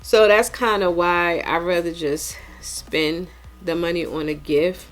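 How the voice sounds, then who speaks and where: A woman's voice: on the low side, steady tone, measured pace, faint music behind. Chatty American woman in her forties. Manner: chatty, informal, repeats points for emphasis. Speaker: vlogger. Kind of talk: casual unboxing chat to viewers.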